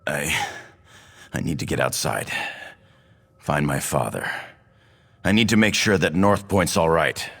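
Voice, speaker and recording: A man's voice: a bass voice, unhurried, gravelly, pauses often, fairly bright tone, exaggerated voice. A man playing an earnest, hesitant young nobleman, a dutiful son, in a fantasy video game. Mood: dazed, shaken. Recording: hall reverb, music behind.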